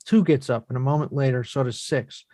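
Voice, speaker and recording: A man reading stage directions from a play as measured, descriptive scripted narration. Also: mid-range voice, dark tone, dry recording, studio quality.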